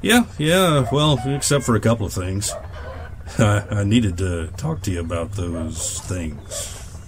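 An actor playing a weary, bitter old aviator turned farmer in an audio drama, folksy and brooding. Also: male voice, fairly low pitch, animated, slow pace, noisy background. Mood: somber, hesitant. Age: older. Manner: folksy, plain-spoken, brooding.

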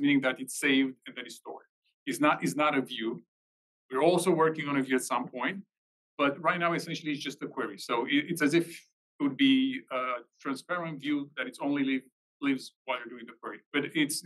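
A man's voice: medium pitch, fairly bright tone, low-quality recording. A man giving an informal technical explanation at a live meetup, rephrasing as he goes. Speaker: technical presenter.

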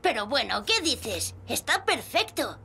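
A woman's voice: high-pitched, sing-song, unhurried, vocal fry, bright, forceful tone, slightly rough, exaggerated voice. A woman playing a cheerful, confident older boy in a Castilian Spanish children's cartoon dub, breezy and upbeat.

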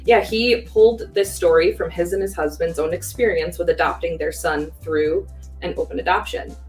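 Low-voiced woman presenting new books. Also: moderate pace, darkish tone, faint music behind, rough recording. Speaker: publishing staff presenter. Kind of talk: panel book presentation. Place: bookshelf studio set, indoors.

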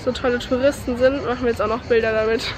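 A woman's voice: on the high side, fairly even intonation, fast talker, rough recording. A young woman chatting playfully to viewers in a casual travel vlog, filmed with a handheld camera outdoors and in a car. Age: young adult. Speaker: vlogger. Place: outdoors and in a car on a road trip. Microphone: handheld camera at arm's length. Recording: outdoor noise from traffic and wind.

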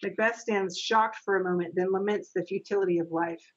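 A woman's voice: medium pitch, steady tone, fairly quick. Muffled call audio, middle-aged woman, informal stage manager, plain instructive delivery, reading directions. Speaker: stage manager and narrator of a readers' theater. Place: small home office. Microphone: video call, computer microphone. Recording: dull, lo-fi video call audio.

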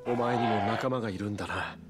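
A man's voice: fairly low pitch, fast, over music, natural voice. A man playing a stoic, earnest young warrior in a video game, speaking in a terse, serious, restrained way. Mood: moved. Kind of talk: dramatic video game voice acting.

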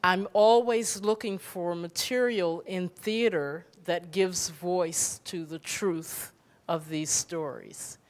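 A middle-aged woman speaking earnestly and deliberately in reflective unscripted remarks on stage, through a handheld microphone. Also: lowish voice, steady tone. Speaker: theater director and teacher. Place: stage in an auditorium.

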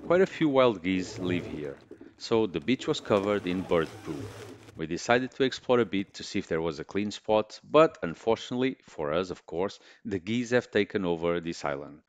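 A man narrating his sailing trip as a travel vlog voice-over, in a relaxed tone with wry understatement. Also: low voice, normal speed. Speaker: boating vlogger. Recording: music playing underneath.

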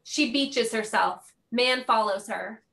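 Bright middle-aged woman narrator, slightly distant. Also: fairly high pitch, takes it slowly, creaky. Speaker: stage directions reader. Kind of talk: scripted narration. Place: home office, video call.

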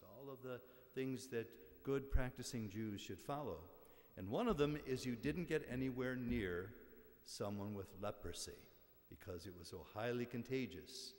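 An older American man preaches an informal homily as a priest. His voice sits a little low, and he talks conversationally, pausing and wandering into asides. A lectern microphone carries him through the long reverb of a large church. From western New York, United States. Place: large church interior, at the ambo. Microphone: gooseneck lectern microphone. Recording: reverberant church hall sound.

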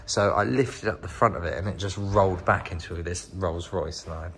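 A man's voice: deep voice, normal speed, a bit bright, slight background noise. A British man giving a casual, off-the-cuff walk-around commentary as a vlogger, recorded in a workshop. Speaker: vlogger, host of a channel about rotten old cars. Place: inside a car workshop.

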